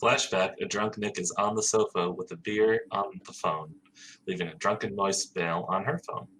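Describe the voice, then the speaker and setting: Man reading stage directions, low voice, bright tone, faint background noise. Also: steady tone, moderate pace, low-quality recording. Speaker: narrator.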